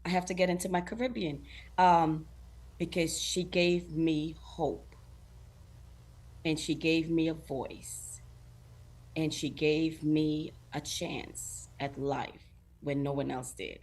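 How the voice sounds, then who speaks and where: A woman's voice: deep voice, fairly even intonation, slow pace, a little mellow. A middle-aged woman from Guyana, a talk show guest who speaks earnestly, laughs often and acts out what other people say. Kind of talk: casual interview chat.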